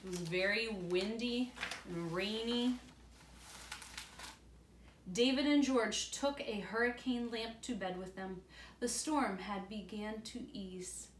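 A woman's voice: mid-range voice, slow pace, pauses often. Roomy, distant woman reading to children. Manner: simple, explanatory address to young children. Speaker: teacher reading aloud to children. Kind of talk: storybook read-aloud with explanatory asides to children. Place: indoor room beside a large glass door. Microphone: camera a few feet away. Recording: roomy, distant camera mic with faint noise.

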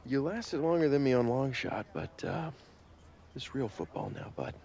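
A man's voice: medium pitch, very animated, normal speed, creaky, a little mellow, slight background noise. A man playing a snide young rival quarterback in a video game drama. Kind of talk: scripted game drama acting.

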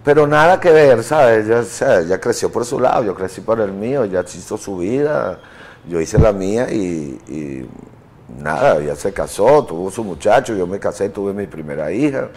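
Rambling man, fairly low. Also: lively intonation, normal speed, darkish tone. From Italy. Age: 50s. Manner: rambling storyteller, chuckling, with fillers. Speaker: interview guest. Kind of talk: casual chat. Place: TV studio. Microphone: lapel mic.

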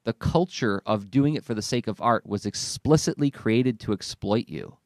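A man's voice: fairly low pitch, expressive, normal speed, no echo. A man, hosting a conversational podcast discussion into a close studio microphone. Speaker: podcast host. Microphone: close studio microphone on an arm, with headphones.

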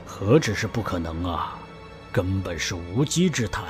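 A man voicing a worried middle-aged uncle in an audio drama who speaks in a grave, measured way. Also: low-pitched, sing-song. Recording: music bed behind.

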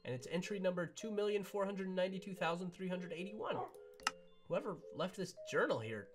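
A woman playing an excitable, jumpy animatronic fox in a chatty, theatrical Minecraft roleplay. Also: fairly low pitch, brisk, over music, close to an everyday voice. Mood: hushed curiosity. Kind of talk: improvised Minecraft roleplay for a family audience.

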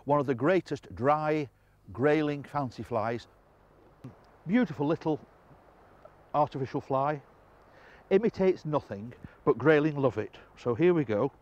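An older man presenting a fly fishing lesson on a riverbank, explaining calmly with quiet enthusiasm, recorded on a clip-on mic. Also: on the high side, a little slow, lo-fi sound. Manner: calm, explanatory, enthusiastic about the fish. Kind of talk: outdoor instructional presenting. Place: outdoors on a river bank.

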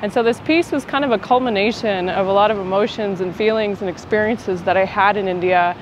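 A woman's voice: mid-range voice, fairly quick. A young woman from Colorado, a family lawyer, speaking earnestly outdoors on a lapel mic about her writing. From Aurora, Colorado. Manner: earnest, personal, with um fillers. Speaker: family law attorney. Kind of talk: prepared award acceptance remarks. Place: outdoors in an urban plaza. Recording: dull outdoor recording with street hum.

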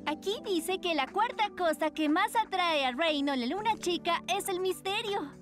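A woman's voice: extremely high pitch, lively intonation, moderate pace. A woman voicing an earnest, dreamy teenage girl in a children's cartoon, dramatic in manner, with a Latin American accent. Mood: playful, dreamy. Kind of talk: dubbed children's cartoon acting. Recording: light music behind the voice.

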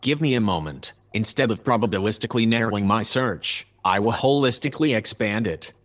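An actor playing a polite, precise AI observer in an audio drama, speaking in a formal, measured way. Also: male voice, on the low side, animated, fairly bright tone. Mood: calm, formal. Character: polite, precise male AI observer aboard a spaceship.

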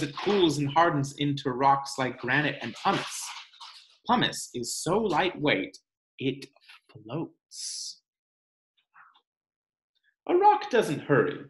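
A man reads a picture book aloud for children at an unhurried pace. His delivery is expressive and teacherly, with pitch that moves up and down a lot. The sound is roomy, like a webcam in a home. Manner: expressive, deliberate, teacherly with questions to listeners. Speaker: children's read-aloud presenter. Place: indoor room at home.

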